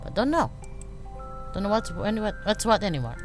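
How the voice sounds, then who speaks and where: A reader voicing a shy, hurt young woman in a game read-through, soft and halting, trailing off. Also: medium pitch, big pitch swings, music in the background, voice pushed up. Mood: hurt, quiet.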